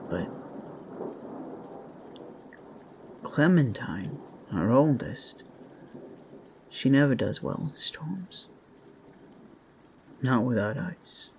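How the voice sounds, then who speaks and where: Man playing a creaky-voiced, anxious raccoon father. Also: mid-range voice, a little mellow, exaggerated voice. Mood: worried. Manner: hesitant, emotional, halting. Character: anxious, grieving raccoon father on a fantasy journey. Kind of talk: dramatic roleplay audio acting. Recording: faint storm noise behind. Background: thunder and storm ambience.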